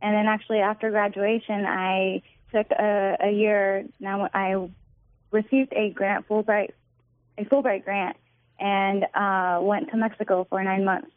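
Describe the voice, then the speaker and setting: A woman's voice: medium pitch, no room sound. Woman, 20s, from Northern California, earnest, friendly, phone-line sound, dry. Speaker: guest. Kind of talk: interview answers.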